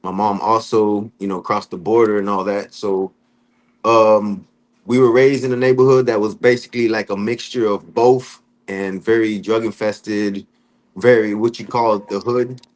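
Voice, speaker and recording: A man from Florida telling his life story in a casual interview, talking in relaxed street slang and often saying "you know what I'm saying". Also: on the low side, normal speed. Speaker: podcast guest.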